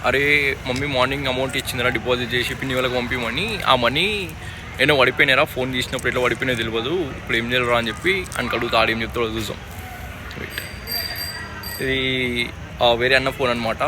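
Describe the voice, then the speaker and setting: A man's voice: mid-range voice, a little fast. A young man with a Telangana accent chatting casually in an informal, slangy way, repeating himself. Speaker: vlogger. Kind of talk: casual chat to camera and on a phone call. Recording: faint outdoor traffic noise.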